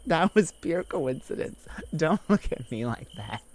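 A woman's voice: deep voice, big pitch swings, unhurried, heavily acted. A woman playing a chill, caring, silly girlfriend in an ASMR roleplay, speaking in a soothing, playful, giggly way to comfort a timid partner. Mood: soft, bemused. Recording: loud thunderstorm and rain noise behind. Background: thunderstorm ambience.

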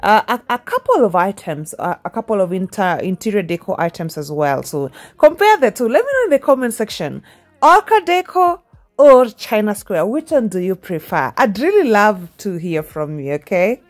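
Young woman vlogger, lively pitch, chatty voice-over that keeps asking viewers for their opinion. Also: moderate pace. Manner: chatty, asks viewers questions. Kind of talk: casual voice-over for a shopping tour.

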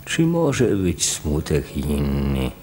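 A man's voice: a bass voice, huge pitch swings, very slow, dark tone, soft background music, heavily acted. An actor playing a mournful village chorus man in a radio drama. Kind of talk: radio play acting, solemn narration.

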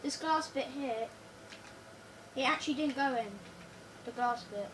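A girl with a slightly croaky voice chatting quickly over a building game, often repeating words in a hurry. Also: high voice. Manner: hurried, repeats words under time pressure. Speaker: co-host playing a building game. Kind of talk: casual gameplay chat. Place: living room, in front of a TV. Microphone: phone camera filming the TV screen. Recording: far-off phone audio with room noise.

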